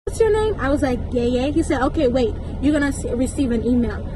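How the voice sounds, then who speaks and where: A young woman with a high-pitched voice tells a casual story, emphatic and indignant, slipping into slangy asides and acted-out dialogue. She is recorded with clear background noise, like outdoor or vehicle sound. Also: expressive, brisk. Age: young adult. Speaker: vlogger. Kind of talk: casual storytime, acting out conversations.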